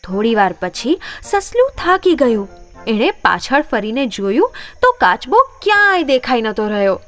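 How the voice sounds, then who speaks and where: A woman narrating a scripted children's story in an expressive storytelling manner. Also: a little high, moderate pace, faint music behind.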